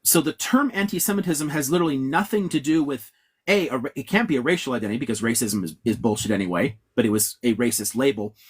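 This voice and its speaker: A man talks through history in a frank, lecturing way, swearing freely and stacking up emphatic asides. His voice has a husky, slightly breathy edge, and he sounds like he is on a video call. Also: medium pitch, quick pace. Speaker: guest historian. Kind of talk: conversational interview answer.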